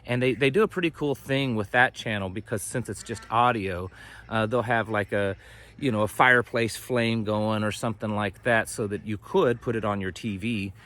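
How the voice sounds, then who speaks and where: A man's voice: on the low side, normal speed, fairly bright tone. A middle-aged American man hosting a homestead vlog, chatting casually and folksily to camera, rambling and trailing off mid-thought. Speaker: host of a homesteading and prepping channel. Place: outdoors on a homestead, ducks nearby.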